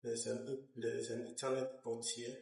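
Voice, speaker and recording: A man presenting a hands-on technical tutorial, explaining step by step in a halting way. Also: mid-range voice, level tone, a little mellow. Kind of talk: hands-on instructional walkthrough. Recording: roomy echo, uneven distance from mic.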